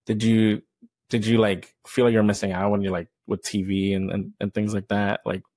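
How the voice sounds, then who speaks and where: Young male host, lowish voice, casual and hesitant with many fillers, dry close mic. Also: level tone, moderate pace, darkish tone, dry recording. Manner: hesitant, loose, filler-heavy questioning. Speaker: podcast host. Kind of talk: casual chat interviewing. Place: small indoor room, on a couch. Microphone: handheld microphone.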